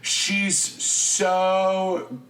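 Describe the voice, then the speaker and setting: An excitable man in casual podcast chat, his voice sitting a little high and bouncing around an echoey room. He gushes with plenty of likes and ums. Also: extremely slow. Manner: chatty, enthusiastic, many fillers. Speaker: podcast co-host.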